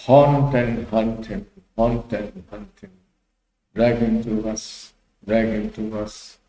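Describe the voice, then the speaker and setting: A man's voice: fairly low pitch, steady tone, slow pace, warm tone, reverberant, low-quality recording. A man voicing haunting, summoning church bells in a storybook, with an insistent, repetitive chant. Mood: relentless. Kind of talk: storybook character reading.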